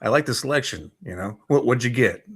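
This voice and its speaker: A man's voice: mid-range voice, expressive, a little fast, husky. A North American man co-hosting a talk show, chatting casually in a laid-back, joking way.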